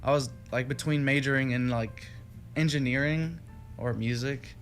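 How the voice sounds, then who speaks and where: A man's voice: medium pitch, fairly even intonation, normal speed. A young man from Texas, a music student guest, chatting casually in an interview with many fillers and restarts. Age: young adult. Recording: radio studio with faint music behind.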